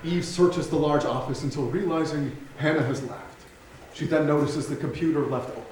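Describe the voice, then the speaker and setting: A man's voice: a little high, fairly even intonation, moderate pace, darkish tone. A man reading scripted stage directions as the narrator of a staged theatre reading. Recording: very echoey theatre, distant mic, faint noise.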